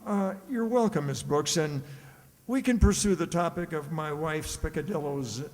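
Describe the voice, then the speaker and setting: A man's voice: a little high, normal speed, natural voice. A man playing a stuffy, pompous middle-aged school principal in an old-style radio comedy, formal and given to hemming and hawing. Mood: confiding, pompous. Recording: echoey hall, long reverb.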